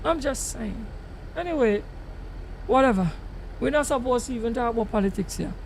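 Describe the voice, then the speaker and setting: A man's voice: very high-pitched, animated, moderate pace, creaky, slight background noise, poor audio. A man with a Tobagonian accent, hosting a gaming live stream and talking in rambling, ranting Creole speech. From Tobago, Trinidad and Tobago. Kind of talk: casual live-stream chat while gaming.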